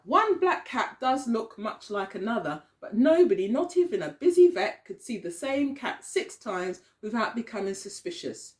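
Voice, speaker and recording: Slow, highly animated woman reading a storybook. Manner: expressive, pausing to question the children. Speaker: early years teacher reading aloud. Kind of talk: storybook reading for young children.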